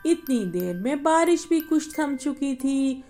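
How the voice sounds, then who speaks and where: A woman's voice: sits high, animated, slow, mellow, faint music behind. A woman narrating a bedtime story for children in a simple style, addressing her young listeners directly.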